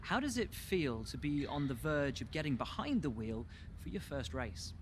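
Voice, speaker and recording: Courteous interviewer, slightly high voice. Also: male voice, very animated, moderate pace, slight background noise. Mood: inquisitive. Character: courteous, inquisitive male motorsport interviewer. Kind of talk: press interview questions.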